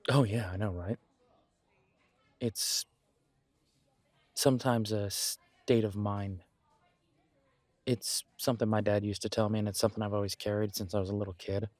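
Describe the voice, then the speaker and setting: Guy playing a supportive best friend, kinda deep voice, real dry recording, chatty and gently earnest, roleplay audio. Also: fairly even intonation, no echo, natural voice. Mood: reflective, reassuring. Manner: reassuring, chatty, reflective pauses. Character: warm, encouraging male best friend, self-deprecating, gently earnest. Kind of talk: intimate roleplay audio monologue, conversational.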